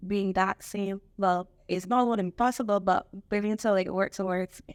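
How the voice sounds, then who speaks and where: A woman, speaking as a guest in a halting, soft-spoken way. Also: mid-range voice, steady tone, clear, resonant tone, no room sound. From Barbados. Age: 20s. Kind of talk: casual chat.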